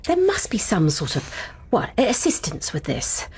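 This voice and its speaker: A woman's voice: mid-range voice, huge pitch swings, measured pace, a little mellow, slightly rough, full character voice. A woman acting in a radio drama as a fretful, naive, well-meaning mother. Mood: worried, hopeful.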